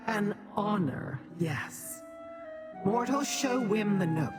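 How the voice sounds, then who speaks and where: An actress playing a haughty, businesslike woman in a fantasy video game, speaking in a measured, formal and commanding way. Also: mid-range voice, animated, over music. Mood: curt, commanding. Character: stern, imperious female schemer, haughty and businesslike.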